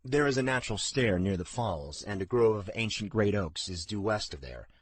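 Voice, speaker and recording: A steady middle-aged father from a storybook, straightforward and measured, with a low voice, as a male narrator plays him. The sound is close and dry. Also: husky, no echo. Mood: wry.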